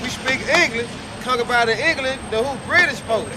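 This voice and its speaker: A man's voice: very high-pitched, normal speed. A man street preaching outdoors in an emphatic, call-and-response manner. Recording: outdoor street recording with traffic and crowd.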